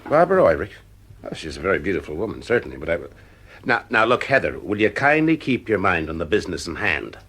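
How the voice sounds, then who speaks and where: An actor playing a calm, persuasive private detective in an audio drama, with a husky voice. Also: male voice, sits low, fast, heavily acted. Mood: flustered.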